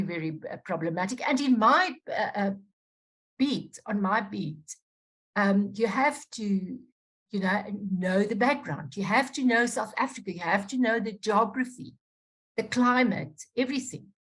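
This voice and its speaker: Woman journalist on a webinar panel, stops and restarts a lot, mid pitch, video call mic. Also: expressive, breathy edge. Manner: halting, many restarts and self-corrections. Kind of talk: unscripted panel talk.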